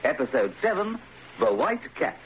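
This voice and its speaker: Animated male radio serial announcer. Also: high-pitched, normal speed, heavy background noise. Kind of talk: scripted radio serial narration.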